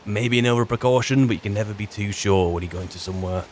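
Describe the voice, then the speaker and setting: A weary British servant turned adventurer, played by a man in a fantasy game roleplay. His voice sits fairly low, and he rambles wryly and haltingly, as if musing to his companions. There is faint background noise and ambience behind him. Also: close to an everyday voice. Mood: chatty planning. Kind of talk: in-character game roleplay monologue.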